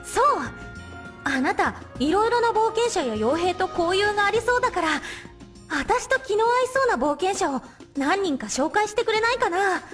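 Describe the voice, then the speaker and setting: A cute young adventurer, acted by a woman chatters in a very high, lilting voice. She talks extremely fast, friendly and perky like an anime game companion. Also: expressive, a bit bright, faint music behind. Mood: coaxing. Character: perky young female treasure-hunter adventurer. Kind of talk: Japanese anime-like game character voice acting.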